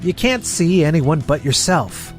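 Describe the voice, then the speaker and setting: A man's voice: a little high, very animated, measured pace, soft background music. A man voicing a capable, blunt elder brother in a manga drama dub, assured and admonishing. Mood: stern, admonishing. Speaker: voice actor and narrator in manga dub.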